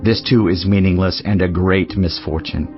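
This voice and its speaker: A man performing a dramatized scripture reading as a world-weary biblical teacher, solemn, measured and declamatory. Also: low-pitched, steady tone, close to an everyday voice. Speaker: audiobook narrator. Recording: muffled audio under prominent music. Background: music.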